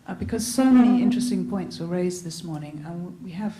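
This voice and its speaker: A woman's voice: lowish voice, fairly quick. A woman leading a conference panel discussion, polite and hesitant, with many fillers. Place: conference hall stage. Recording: echoey hall with background noise.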